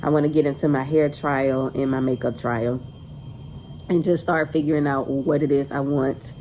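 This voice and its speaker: A woman's voice: extremely low pitch, steady tone, normal speed, dark tone, a little hiss or hum, rough recording. A woman in her twenties with a stuffy, congested voice, chatting casually to her vlog audience. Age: 20s. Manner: chatty, rambling, says y'all. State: congested with a cold. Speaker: vlogger. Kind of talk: casual vlog talk to camera. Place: in a car on the way to errands.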